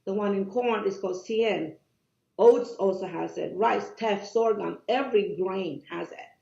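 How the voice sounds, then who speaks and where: A woman with a Cuban accent explains things emphatically, and a gravelly fry runs through her speech. The audio is dull and lo-fi, and it echoes around the room. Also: medium pitch, normal speed, mellow. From Cuba. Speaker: guest doctor. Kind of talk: conversational interview.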